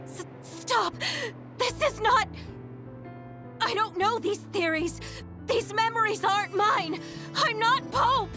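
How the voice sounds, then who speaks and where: A woman's voice: very high-pitched, slow pace, heavily acted. A woman playing a tormented military commander and confessed spy in an English video game dub. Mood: anguished, frantic. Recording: music playing behind the voice.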